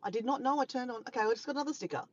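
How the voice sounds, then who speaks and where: A woman's voice: on the high side, rapid-fire, darkish tone, dull audio, a little hiss or hum. An Australian woman live-streaming in casual chat, rambling and laughing as she reads out viewers' comments. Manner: chatty, rambling, laughs often, greets viewers.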